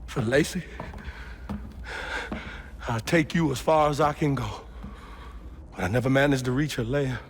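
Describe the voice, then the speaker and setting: A man playing a guilt-ridden, gentle but wounded character in a video game, with a Southern US accent and halting, confessional delivery. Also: medium pitch, a little hiss or hum, natural voice. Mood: concerned. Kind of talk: naturalistic game drama acting. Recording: music behind the dialogue.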